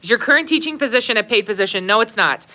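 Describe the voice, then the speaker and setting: A woman's voice: fairly high pitch, expressive, very fast. A woman hosting a live Q&A stream, chatting casually with many fillers, laughing often and reading viewer comments aloud. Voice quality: warm, conversational, many fillers.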